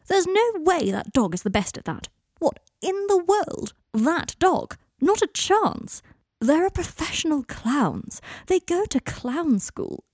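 A sardonic, self-deprecating young man played by a woman narrator in audiobook dialogue. He deflects with sarcasm and rambles into gloomy confessions, in a mellow voice recorded close and dry. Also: medium pitch, lively intonation, fairly quick, husky, dry recording. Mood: scornful disbelief.

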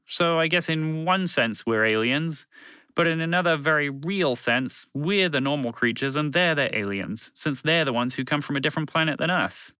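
A condescending alien creature from a storybook, voiced by a man. It argues every point in a bright, raised voice and takes offence easily, with pitch that jumps around in lively swings. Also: moderate pace, clean tone, no room sound. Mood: insistent pedantic. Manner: condescending, argumentative, casual phrasing.

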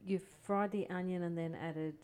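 A woman's voice: on the low side, fairly even intonation, normal speed, a little mellow. A woman interviewing a home cook, chatty and curious, with plenty of likes and sort-ofs. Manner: curious, chatty, lots of fillers. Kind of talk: casual interview questions.

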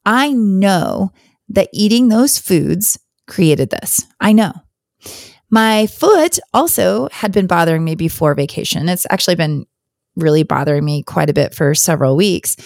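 Hoarse woman rambling on her podcast, low and croaky, kinda candid. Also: lively intonation, measured pace, a little mellow, no room sound, clean studio sound. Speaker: podcast host. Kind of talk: casual solo podcast chat.

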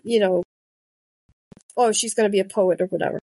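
A woman's voice: mid-range voice, fairly even intonation, a little fast, dark tone, no echo, rough recording. A woman in her thirties, a podcast host and author, chatting casually and telling stories with quoted asides. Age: 30s. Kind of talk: casual conversation.

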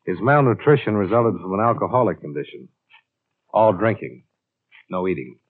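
Cool-headed insurance investigator, American, clipped and pressing, mid-century radio style, narrow old broadcast sound. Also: male voice, lowish voice, animated, normal speed. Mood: dry, insistent. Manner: clipped, dry, pressing questioning. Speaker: lead actor and first-person narrator. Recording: thin band-limited vintage radio recording.

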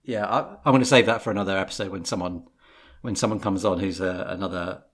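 Man, podcast host, fairly low voice, chatty interview style, casual fillers. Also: fairly even intonation, fast, fairly bright tone. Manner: chatty, laughs easily, fillers.

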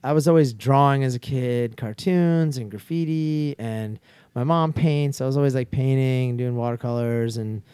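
A man's voice: mid-range voice, moderate pace, warm tone, clean tone, no echo. A man in his forties chatting casually as a guest, laid-back and slangy, with many restarts. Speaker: guest skydiver. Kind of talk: casual chat.

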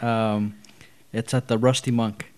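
Hesitant host with filler-heavy talk. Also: male voice, fairly low pitch, little pitch movement, slow, darkish tone. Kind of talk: casual interview chat.

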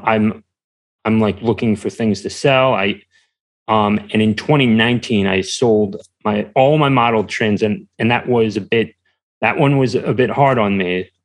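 A young man answering as an interview guest, talking casually in a hesitant, halting way. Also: lowish voice.

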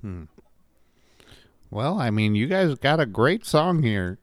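Slow dry-voiced male host. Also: medium pitch, sing-song, no room sound. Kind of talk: casual comedic interview.

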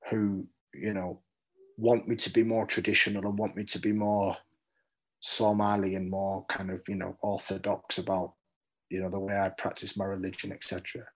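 Low-voiced, hesitant British man. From London, England. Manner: hesitant, thoughtful, often repeating words as he searches. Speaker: poet being interviewed. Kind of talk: reflective literary interview.

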